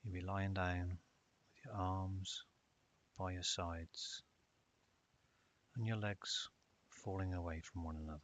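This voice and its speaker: A man narrating a guided meditation in a calm, measured way with long pauses. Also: low-pitched. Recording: dull lo-fi audio with hiss.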